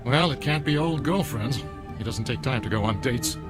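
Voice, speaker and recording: An actor playing a genial, urbane senior executive in a radio drama, with a teasing manner. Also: male voice, medium pitch, normal speed, music in the background, natural voice. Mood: amused, coaxing. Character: genial, jovial senior corporate executive, gently teasing man.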